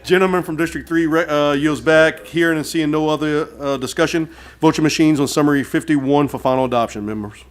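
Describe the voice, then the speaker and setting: A man's voice: fairly high pitch, fairly even intonation, fairly quick, few pauses. A man chairing a council meeting in formal parliamentary procedure, speaking into a meeting microphone. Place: public meeting room.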